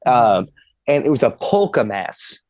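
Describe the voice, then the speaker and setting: A man's voice: mid-range voice, very animated, measured pace, a little mellow. A man in his twenties, a podcast guest, telling a long funny story in casual chat, laughing and swearing as he goes.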